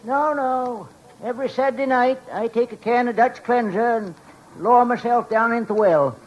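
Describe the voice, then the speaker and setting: High-pitched deadpan old Yankee codger. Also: male voice, normal speed. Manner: deadpan, folksy. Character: crusty old deadpan rural New England codger Titus Moody. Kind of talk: 1940s radio comedy acting. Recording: dull, noisy vintage radio recording.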